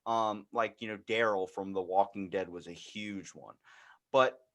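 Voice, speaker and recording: A man's voice: fairly low pitch, a little slow. A man hosting a podcast interview, chatting casually in a rambling way and acting out little asides as he goes. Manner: rambling, animated storytelling with acted-out asides. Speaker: podcast host interviewing a guest. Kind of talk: casual chat.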